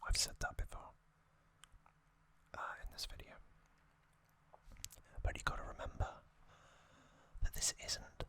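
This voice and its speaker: Asmr host whispering a recap super slowly, breathy, really crisp bright whisper, long pauses. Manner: slow, soft phrases with long pauses. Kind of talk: whispered ASMR narration recapping an anime series.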